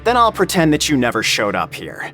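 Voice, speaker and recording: Game henchman: guarded, devoted convict, medium-pitched, faint music underneath. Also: huge pitch swings, brisk, sharp tone. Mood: wary, defiant. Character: gruff, devoted prison crew member, wary of outsiders. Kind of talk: video game voice acting.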